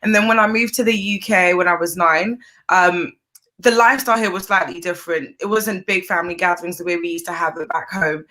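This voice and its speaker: A young woman chef from Zimbabwe, chatting casually as an interview guest, warm and quick to laugh. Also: fairly low pitch, fairly even intonation. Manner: chatty, laughs easily, uses fillers.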